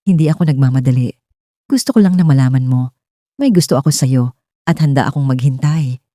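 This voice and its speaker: A woman voicing an earnest, patient male suitor in a romance story, sincere and gently persuasive. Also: very deep voice, brisk, no echo, clean studio sound. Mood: tender, serious. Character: earnest, patient man in love, a workplace supervisor.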